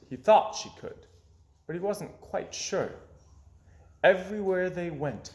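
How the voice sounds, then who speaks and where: Male children's storyteller: lighter high-ish pitch, very animated, dramatic, hushed endings. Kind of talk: expressive children's story read-aloud. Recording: echoey room.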